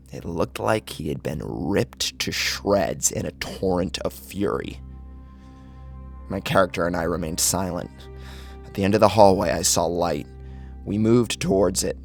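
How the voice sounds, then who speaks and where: A man's voice: sits low, gravelly. A man narrating a first-person horror story in a grave, intense, dramatic manner. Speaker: audiobook narrator. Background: music.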